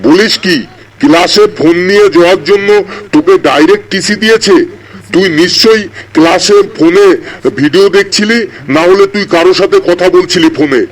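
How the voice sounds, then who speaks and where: A man's voice: high voice, fairly quick, lightly acted, rough recording. A man voicing an easily flustered, exasperated schoolteacher in a comedy cartoon, speaking theatrically.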